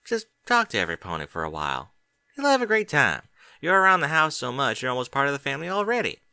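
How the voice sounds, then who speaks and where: A man's voice: mid-range voice, huge pitch swings, quick pace, creaky, sharp tone, clear, resonant tone, no echo, clean studio sound, voice pushed up, heavily acted. A man voicing an earnest young farm pony mare in a fan fiction audiobook, talking in a plain, folksy way. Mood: friendly.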